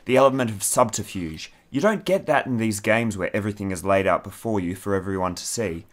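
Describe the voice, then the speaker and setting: A man's voice: on the low side, fairly quick, close to an everyday voice. A man playing a worldly, teasing army major in a radio play, opinionated and formal in his speech. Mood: relishing.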